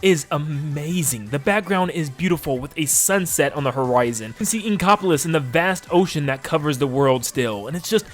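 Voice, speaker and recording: A man giving enthusiastic video game retrospective commentary as a host, with emphatic, gushing delivery and drawn-out stressed words. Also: on the high side, lively intonation. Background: game soundtrack music under the voice.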